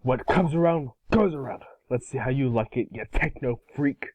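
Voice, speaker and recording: A man voicing a brash, excitable young cartoon hero in an amateur table read. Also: mid-range voice, normal speed, no echo, lightly acted. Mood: cocky. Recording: dull, lo-fi microphone.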